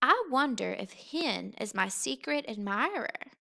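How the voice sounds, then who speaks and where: A woman's voice: fairly high pitch, sing-song, measured pace, gravelly, sharp tone, full character voice. A woman voicing an eager, polite, curious young boy bear cub in a storybook, with an earnest, wide-eyed manner. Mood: wondering.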